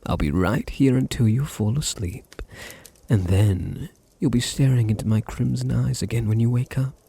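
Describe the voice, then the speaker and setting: A British man voicing a possessive, seductive vampire noble in an intimate ASMR roleplay, teasing and soothing, often dropping into a breathy whisper. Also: mid-range voice, mellow. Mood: tender, possessive. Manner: slow, soothing, teasing, condescendingly tender. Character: dominant, possessive, seductive male vampire noble doting on his servant.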